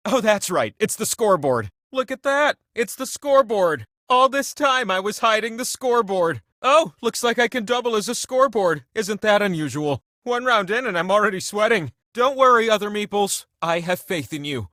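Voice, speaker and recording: A man's voice: sits high, expressive, moderate pace, hardly any pauses, bright, forceful tone, no echo, studio quality. A man voicing a giant talking prize wheel in a video game, a grandiose, teasing host who slips between proclamations and chatty asides. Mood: showy, amused, then encouraging. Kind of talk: theatrical video game voice-over.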